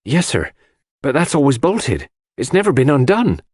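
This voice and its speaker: A fretful female servant of a country house, played by a man. The voice is raised high, anxious and deferential, with lively swings of pitch. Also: normal speed, no echo, studio quality.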